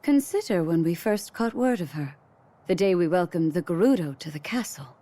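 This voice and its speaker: An actress playing a gentle, wise queen and mentor in a video game, speaking with calm, encouraging poise. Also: on the low side, normal speed, no echo. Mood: thoughtful. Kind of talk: video game cutscene acting.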